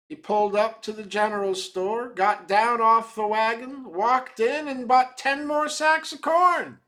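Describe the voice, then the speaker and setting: A man giving a dramatic reading of a short tale, measured and theatrical as a storyteller. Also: high-pitched, fairly bright tone.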